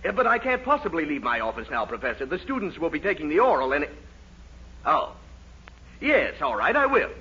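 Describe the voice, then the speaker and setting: Sardonic professor, highish bright voice, theatrical exasperation, old radio comedy, dull recording. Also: male voice, very animated, brisk, exaggerated voice. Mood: brisk, exasperated. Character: exasperated, sarcastic male college professor giving tests. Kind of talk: 1940s radio comedy acting. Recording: dull, noisy 1940s radio recording, audience.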